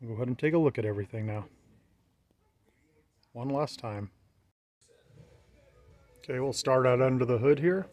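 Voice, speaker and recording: A man talks through his handiwork like a casual vlogger. His delivery is plainspoken and matter-of-fact, at a medium pitch. He records himself on a handheld camera around a parked truck. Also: moderate pace, pauses often, a little mellow. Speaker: vlogger showing a vehicle radio installation. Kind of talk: casual hands-on walkthrough narration.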